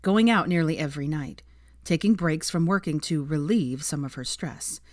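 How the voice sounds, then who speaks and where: A woman's voice: deep voice, normal speed, a little mellow, no echo, studio quality. A woman reading fiction aloud as an audiobook narrator, in scripted storytelling style.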